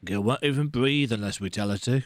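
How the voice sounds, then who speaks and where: A man voicing a calm, commanding soldier in a fantasy video game, curt and assured. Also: medium pitch, a little fast, no room sound, natural voice. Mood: cold, commanding. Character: stern, calm, commanding male imperial officer.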